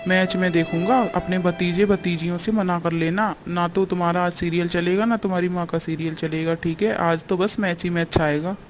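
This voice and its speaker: A man's voice: high voice, fairly even intonation, moderate pace, hardly any pauses, lightly acted. A man voicing a lazy, demanding, self-satisfied young husband in a cartoon family drama. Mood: bossy. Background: music.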